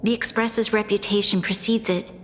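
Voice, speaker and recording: A woman playing an earnest, burdened young leader of a city in a video game, speaking in a formal, measured way. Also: medium pitch, fairly even intonation, slight background noise, natural voice. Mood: worried, pleading. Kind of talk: video game cutscene voice acting. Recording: long hall reverb, background music.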